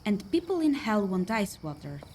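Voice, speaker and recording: A woman's voice: medium pitch, sing-song, moderate pace, a little mellow, slight background noise. A woman acting a worldly, chatty, poor young woman in a radio play, confiding and folksy. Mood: breezy, coaxing.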